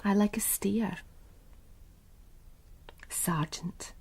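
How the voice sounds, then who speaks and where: A woman's voice: medium pitch, very slow, darkish tone, breathy edge, in a whisper, heavily acted. An actress playing a cool, calculating and seductive businesswoman in a radio crime drama, poised, guarded and knowing. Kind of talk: naturalistic radio drama dialogue.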